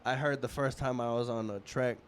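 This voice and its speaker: A man in his thirties hosting a podcast, chatting in a relaxed, rambling way in a casual interview. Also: mid-range voice, fairly quick, slight background noise. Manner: relaxed, rambling, many restarts and fillers. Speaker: podcast host.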